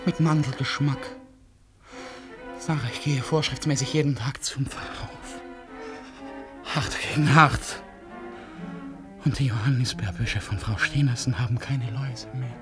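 An actor in a German radio drama playing an agitated, feverish, accusing man, husky and theatrical, swinging between whispers and shouts. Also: medium pitch, steady tone, a little slow, pauses often, over music, natural voice. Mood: feverish, confused.

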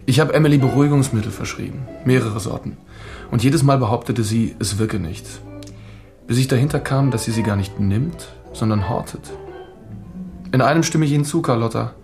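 A man's voice: mid-range voice, brisk, a little mellow, soft background music, lightly acted. An actor playing a flirtatious, smug male psychotherapist in a German radio drama, teasing and self-assured. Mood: defensive, informative.

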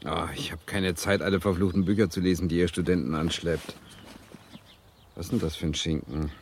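An actor playing a wry, self-doubting Swedish literature lecturer who narrates a radio drama in a reflective, deliberate way. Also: male voice, low-pitched, little pitch movement, slight background noise. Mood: reluctant, grumbling. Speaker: narrator and lead character.

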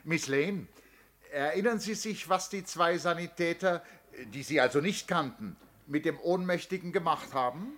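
An actor playing a methodical defence lawyer in a 1970s German radio play, questioning insistently with deliberate, pointed pauses. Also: male voice, fairly high pitch, fairly bright tone, close to an everyday voice. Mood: formal, insistent.

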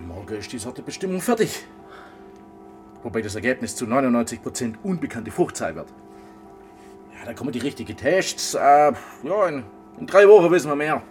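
A man's voice: medium pitch, very animated, fairly quick, faint music behind, lightly acted. Man playing a folksy, teasing scientist. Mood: matter-of-fact. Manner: chatty, folksy, teasing, tag questions. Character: jovial, quirky, teasing scientist, an informal fruit researcher. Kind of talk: German radio drama acting.

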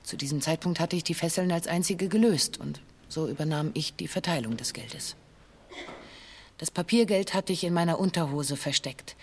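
A woman playing a former hostage giving a formal, measured witness statement in a radio documentary. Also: low-pitched, husky. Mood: matter-of-fact. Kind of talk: scripted testimony in a radio documentary.

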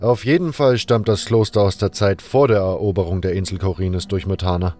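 A man's voice: sits low, steady tone, brisk, darkish tone, faint music behind, natural voice. A man voicing a blunt, unschooled adventurer in an audio drama, rambling along in a colloquial, unbothered way. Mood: musing, offhand. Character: gruff, carefree male adventurer, unschooled and blunt. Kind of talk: fan audio drama dialogue.

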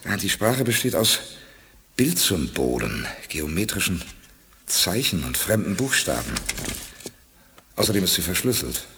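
A man's voice: low-pitched, sing-song, a little slow, gravelly, long pauses, pushed and bright, big-room sound, heavily acted. An actor playing a decisive, commanding male space expedition leader in a 1980s radio play. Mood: thoughtful. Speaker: leader Perry Rhodan.

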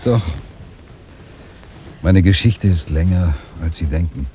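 An actor playing an intense, brooding astronaut in a German science fiction radio play, speaking deliberately and dramatically. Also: male voice, sits low, warm tone. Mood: confiding. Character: intense, brooding male astronaut with altered mind. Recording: faint background noise, early-1990s radio drama.

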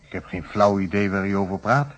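An actor with a Netherlands Dutch accent playing a troubled, defensively candid shopkeeper in a radio play, plain-spoken and explanatory. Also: male voice, lowish voice, steady tone, fairly quick, muffled recording, lightly acted. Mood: baffled, wary.

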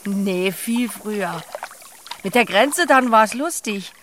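An actress playing an earthy, unflappable pig farmer in an audio drama, with a plain, dry manner. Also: female voice, mid-range voice, lively intonation, slow, a bit bright, a little hiss or hum. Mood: dry, unfazed. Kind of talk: German audio drama acting.

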